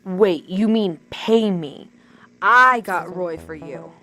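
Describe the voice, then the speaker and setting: A woman's voice: lowish voice, animated, slow pace, bright, forceful tone, full character voice. An actress playing a brazen, scheming female informant in a comic radio drama. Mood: bargaining.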